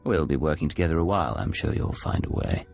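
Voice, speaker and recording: An actor playing a confident, dry-witted secret agent in a video game, terse, understated and suave. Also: male voice, rumbling low, big pitch swings, quick pace, vocal fry, darkish tone, soft background music, heavily acted. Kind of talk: video game cutscene acting.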